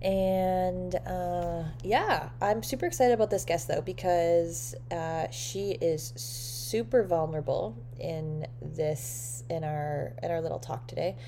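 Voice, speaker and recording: A woman's voice: fairly low pitch, slow, few pauses, dark tone, a little hiss or hum. A Canadian woman hosting a podcast, chatting casually and upbeat with plenty of fillers. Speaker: podcast host. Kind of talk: casual interview chat.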